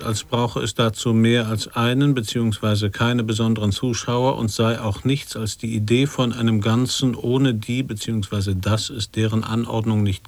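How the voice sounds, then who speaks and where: A man's voice: medium pitch, dry recording, natural voice. An actor playing a solemn man who issues abstract, paradoxical instructions in formal winding phrases in an avant-garde radio play.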